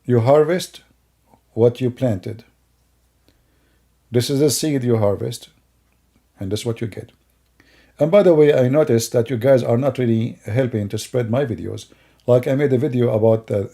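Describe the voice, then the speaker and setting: Gravelly, mocking middle-aged man. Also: medium pitch, measured pace, long pauses, dark tone. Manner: mocking, rambling, with broken grammar. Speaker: commentator. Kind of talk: sarcastic commentary over video clips.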